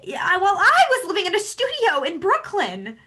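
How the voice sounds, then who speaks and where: A woman's voice: very high voice, huge pitch swings, moderate pace, sharp tone, heavily acted. A young woman playing a pragmatic, defensive but caring older sister in a stage drama, speaking insistently and persuasively. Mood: defensive, regretful. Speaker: student actor. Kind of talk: naturalistic stage drama acting.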